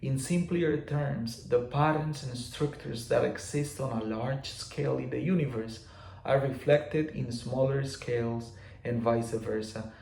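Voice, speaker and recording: Man with a gravelly voice. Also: medium pitch, fairly even intonation, a little slow, darkish tone, echoey room, a little hiss or hum, poor audio. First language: Spanish. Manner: loose and repetitive, many fillers and restarts. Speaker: teacher of spiritual laws. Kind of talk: casual explanatory talk.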